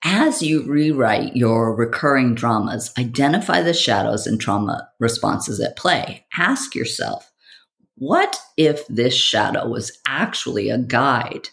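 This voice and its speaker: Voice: mid-range voice, big pitch swings, a little slow, creaky, fairly bright tone. A podcast host and coach delivering a scripted monologue in a theatrical, rhetorical way, speaking straight to the listener.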